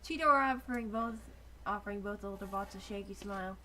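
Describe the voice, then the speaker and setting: A woman's voice: mid-range voice, steady tone, fairly quick. A young woman narrating a story as an amateur dramatic reading, with joking asides. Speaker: narrator of a fanfiction. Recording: noticeable background noise.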